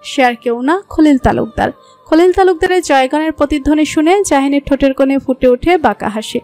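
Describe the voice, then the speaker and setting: High-pitched Bangladeshi woman narrating a story. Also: fairly quick, a little mellow, faint music behind. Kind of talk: scripted romantic story narration.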